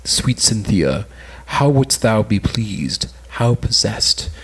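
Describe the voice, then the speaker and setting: A man's voice: on the low side, big pitch swings, takes it slowly, fairly bright tone, husky, exaggerated voice, rough recording. A man playing a lovesick, melancholy suitor, earnestly declaiming in an amateur cold reading of an old stage play. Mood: yearning, sorrowful.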